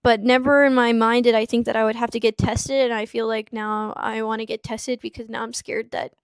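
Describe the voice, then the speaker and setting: High-voiced young woman, dry close sound. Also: little pitch movement, clear, resonant tone, no room sound. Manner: halting, tearful at times. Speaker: streamer in a played clip. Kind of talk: emotional personal account. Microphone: small clip-on mic.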